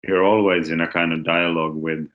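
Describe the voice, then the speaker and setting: Deep-voiced man, dull lo-fi sound. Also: flat delivery. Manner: casual, slightly halting, with restarts. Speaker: guest musician. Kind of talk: interview conversation.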